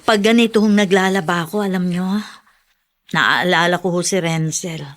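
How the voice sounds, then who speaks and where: A woman's voice: on the low side, steady tone, normal speed, lightly acted. An actress playing a humble, caring foster mother in a radio drama, speaking in a homely, respectful way. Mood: wistful. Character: humble, caring laundry-doing foster mother, missing her child. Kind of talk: Tagalog radio drama acting.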